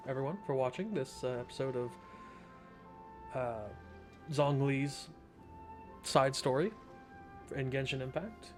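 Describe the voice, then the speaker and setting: A man doing casual let's play commentary as a streamer, with wry, joking asides. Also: medium pitch. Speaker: video game streamer.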